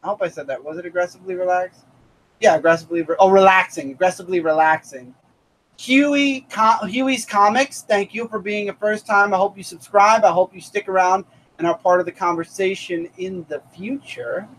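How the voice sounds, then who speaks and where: A man's voice: high-pitched, brisk, a bit bright, low-quality recording. A man in his thirties hosting a casual comic review live stream, upbeat and thanking viewers by name. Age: 30s.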